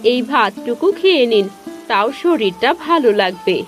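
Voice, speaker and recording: Actress as poor village housewife, high voice, caring, fussing, expressive, cartoon dialogue, faint music. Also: female voice, normal speed, lightly acted. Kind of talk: Bengali cartoon voice acting.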